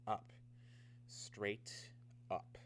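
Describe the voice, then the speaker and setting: An actor in a radio drama playing a businesslike security officer, clipped and matter-of-fact. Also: male voice, very slow, fairly bright tone, a little hiss or hum. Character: businesslike male security officer at atomic research camp.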